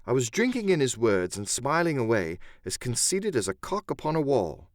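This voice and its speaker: A man's voice: mid-range voice, big pitch swings, moderate pace, no room sound, studio quality. A man narrating an adventure audiobook in the first person, with theatrical, literary storytelling.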